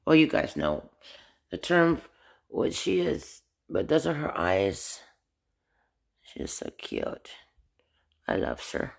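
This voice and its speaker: A woman talks slowly and hesitantly into the phone she holds, her voice carrying a gravelly fry. She rambles in a casual home vlog, recorded in a small bedroom. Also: sits low, very animated. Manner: slow, hesitant, loses her words, nervous. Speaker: vlogger showing her doll collection. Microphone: phone camera held by the speaker, off screen.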